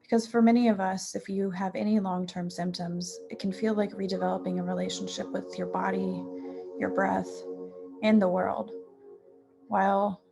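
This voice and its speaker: Woman guiding meditation, slightly creaky voice over music. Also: mid-range voice, flat delivery. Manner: soothing, unhurried, pausing between short phrases. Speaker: yoga nidra meditation teacher. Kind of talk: guided meditation.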